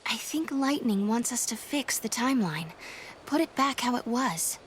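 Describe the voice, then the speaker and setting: An actress playing an earnest, hopeful young heroine in a video game cutscene. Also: female voice, a little high, expressive, moderate pace, slight background noise, lightly acted. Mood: worried. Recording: music behind the voice.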